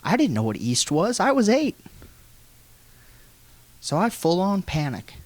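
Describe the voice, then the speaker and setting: An American man telling a funny personal story in an animated, folksy way with some swearing. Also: sits high, a little slow, dry recording. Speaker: guest storyteller.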